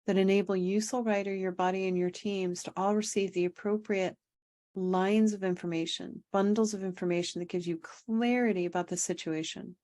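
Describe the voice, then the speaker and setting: A woman leading a guided meditation as a coach, speaking calmly and without hurry, with pauses and gently repeated phrases. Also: mid-range voice. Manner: calm, unhurried, repetitive phrasing with pauses. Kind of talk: guided meditation, spoken instruction.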